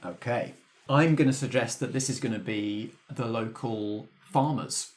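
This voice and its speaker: Man, mid pitch, game master, casual explanatory delivery, thinking aloud. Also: measured pace. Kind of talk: casual tabletop game facilitation, scripted credits at the end.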